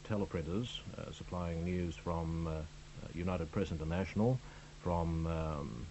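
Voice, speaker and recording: An Australian man from Melbourne, a radio newsreader, answering interview questions in a halting way full of ums and uhs. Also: low voice. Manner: hesitant, many ums and uhs. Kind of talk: studio interview chat. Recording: radio broadcast with steady hum.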